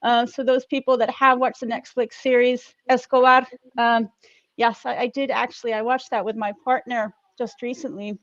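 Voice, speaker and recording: A woman's voice: fairly high pitch, flat delivery, normal speed, a bit bright, no echo, lo-fi sound. A woman from the Netherlands who grew up partly in South America, speaking conversationally as an interview guest. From Netherlands and South America, mostly Colombia. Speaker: interview guest, corporate global mobility manager. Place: at home, on an online call.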